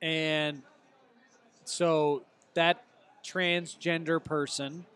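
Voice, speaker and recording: A man's voice: on the high side, little pitch movement, drawn-out words, fairly bright tone, clean tone. A man hosting a casual sports show, mixing play-by-play calls with teasing, argumentative banter. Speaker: host.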